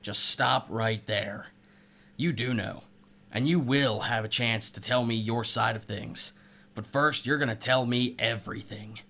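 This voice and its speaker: A man playing a betrayed husband turned killer in an audio drama, sardonic and taunting, with deliberate menace. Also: medium pitch, fairly even intonation, bright, forceful tone. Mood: cold accusing. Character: betrayed, vengeful husband turned sadistic murderer, missile silo worker.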